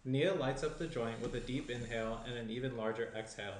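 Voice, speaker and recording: Male narrator, 30s, mid pitch, reading screenplay directions in a roomy space with faint noise. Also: fairly quick. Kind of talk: scripted narration at a table read. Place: small indoor room at a table.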